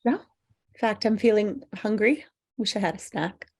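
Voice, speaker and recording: A woman's voice: mid-range voice, a little slow, creaky, darkish tone. A woman playing a hapless, defiant single woman in a comedy table read, conversational and falsely breezy.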